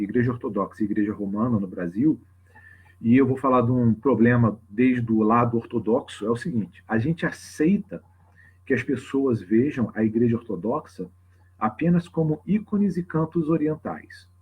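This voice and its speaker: A man with a husky voice and a Brazilian Portuguese accent, explaining things informally and off the cuff to a livestream audience, often checking in with tag questions. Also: medium pitch, moderate pace. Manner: conversational, frequent tag questions. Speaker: religious teacher hosting a live open class. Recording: slightly dull lo-fi livestream audio.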